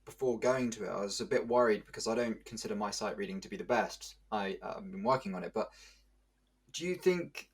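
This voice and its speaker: Man, interviewer with a lowish voice. Casual, conversational questions. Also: brisk, gravelly, slightly rough. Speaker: interviewer and voice actor.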